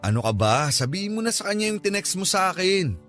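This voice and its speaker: An actor playing a teasing, playful middle-aged boss in a radio drama, animated in manner. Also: male voice, high-pitched, quick pace, faint music behind. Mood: prodding. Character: teasing, playful older male boss matchmaking his young staff. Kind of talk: Tagalog radio drama acting.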